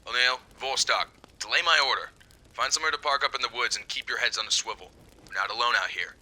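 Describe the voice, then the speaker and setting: A man playing a gruff older marine captain in an audio drama, giving clipped, commanding military orders. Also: mid-range voice, fast talker, pushed and bright, rough recording. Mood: firm, commanding. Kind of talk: fan audio drama acting.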